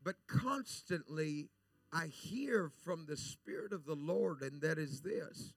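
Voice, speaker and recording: A man's voice: on the high side, lively intonation, takes it slowly, no echo. A man, a guest preacher whose first language is Spanish, delivering a Pentecostal sermon and dropping "amen" and "praise God" between his sentences. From El Salvador.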